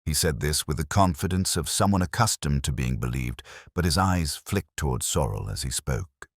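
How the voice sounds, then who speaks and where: Brief: male narrator, very low voice with expressive swings, measured and brooding, for scripted detective fiction. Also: slightly rough, dry recording, clean studio sound. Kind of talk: scripted first-person detective story narration.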